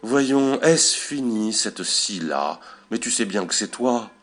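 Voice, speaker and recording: A man voicing a weary, resigned husband who wants peace and teases in an unhurried way. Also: fairly low pitch, lively intonation, fairly bright tone, faint music behind, full character voice. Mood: weary, irritated.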